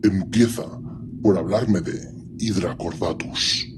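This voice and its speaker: A man's voice: deep voice, takes it slowly, noisy background. A man playing an ancient superhuman warlord in an audio drama, speaking with cold, commanding disdain and menace. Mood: calm, threatening. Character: ancient imperious superhuman warlord primarch, menacing and coldly condescending.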